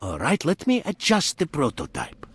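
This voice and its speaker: A man playing an earnest, formal scientist in a video game, speaking in a measured, explanatory way. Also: on the high side, huge pitch swings, husky, heavily acted. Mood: businesslike. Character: male scientist, earnest, urgent, formal lab researcher.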